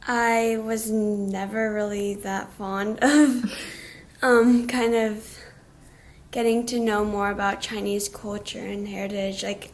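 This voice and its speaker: A woman speaking casually in a documentary interview, relaxed with fillers. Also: medium pitch.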